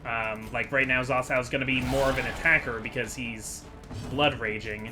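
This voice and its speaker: A man's voice: mid-range voice, fairly even intonation, moderate pace, over music. A man giving casual, rambling commentary as the host of a gaming video, chatty and digressive as he thinks aloud.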